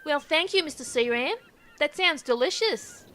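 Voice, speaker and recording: A woman's voice: high-pitched, animated, normal speed, pushed and bright. A British woman playing a sarcastic, impatient kitchen sidekick in an audio comedy, with snappy delivery. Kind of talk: audio comedy sketch acting.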